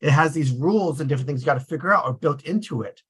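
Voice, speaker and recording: Husky, higher-pitched man chatting casually. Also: racing, fairly bright tone. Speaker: host of a table read group. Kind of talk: casual group chat.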